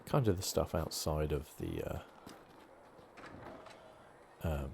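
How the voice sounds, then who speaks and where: A man hosting a gaming channel speaks in a soft ASMR style. His voice is extremely deep and mellow. There is faint noise behind him as he talks through his play. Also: huge pitch swings, takes it slowly, gravelly. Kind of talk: soft ASMR game playthrough commentary.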